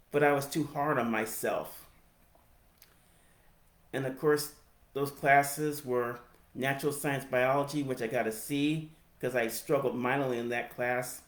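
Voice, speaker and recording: An American man in his forties with a speech impediment, giving a personal reflective narration in halting, deliberate phrases. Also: medium pitch. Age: 40s. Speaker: higher education professional telling own story. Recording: roomy, echoey sound.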